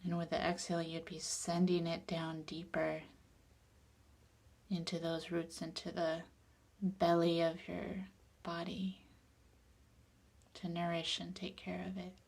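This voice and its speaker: Calm lady doing guided meditation, really slow w long pauses, kinda low soft voice that goes breathy.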